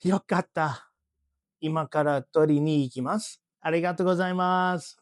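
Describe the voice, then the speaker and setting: A woman's voice: sits low, fairly even intonation, fast talker, dry recording, clean studio sound, natural voice. A woman playing a polite learner making phone calls in a lesson role play, using short, simple phrases. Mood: polite, apologetic.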